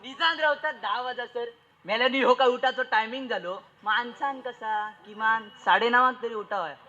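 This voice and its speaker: A man's voice: very high voice, normal speed, bright, forceful tone, slight background noise. A man playing a nagging, exasperated daughter-in-law in a comic stage play, scolding and complaining in a Malvani accent. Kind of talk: broad comic stage acting in a dialect play. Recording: dull, lo-fi stage recording.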